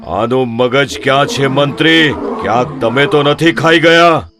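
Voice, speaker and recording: A man voicing a commanding, stately animal king in a children's animated story. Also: medium pitch, a little slow, close to an everyday voice. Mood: angry, suspicious. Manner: stately, authoritative. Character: commanding male animal king, lion or monkey ruler.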